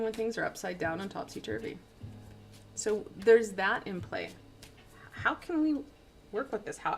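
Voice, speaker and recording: Brief: a mid-pitched woman doing a chatty tarot stream, stumbling and joking about her slips, on a plug-in mic. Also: a little hiss or hum. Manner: rambling, stumbling, self-deprecating. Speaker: tarot reader. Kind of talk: casual livestream tarot reading. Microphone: plug-in studio microphone.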